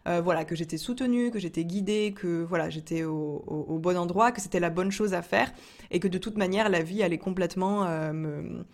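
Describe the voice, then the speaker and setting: Young woman from France, low-pitched, casual vlog storyteller, talks with many fillers. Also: a little fast. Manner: chatty, frequent fillers and restarts, laughs often. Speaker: entrepreneur vlogger.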